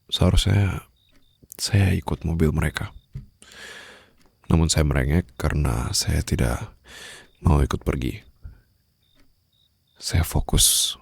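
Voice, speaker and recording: A man playing a brooding, protective mafia boss in an intimate ASMR roleplay, speaking slowly and softly in a husky, breathy voice that drifts into whispers. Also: rumbling low, dry recording, heavily acted. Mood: sorrowful. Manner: slow, hushed, formal and soothing, drifting into whispers. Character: brooding young male mafia boss, commanding yet tenderly protective. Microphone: close studio microphone, dry.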